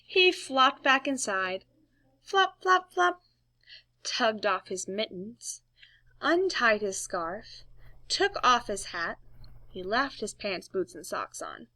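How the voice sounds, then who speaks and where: Woman storyteller, bright high voice, unhurried, playful, emphatic sound words, children's read-aloud. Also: big pitch swings, dry recording. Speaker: storybook reader, teacher.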